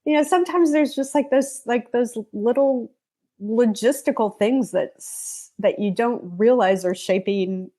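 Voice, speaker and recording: A woman from the United States, a travel journalist, answering interview questions in a thoughtful, halting way. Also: fairly high pitch, warm tone. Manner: thoughtful, with restarts. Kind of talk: conversational interview.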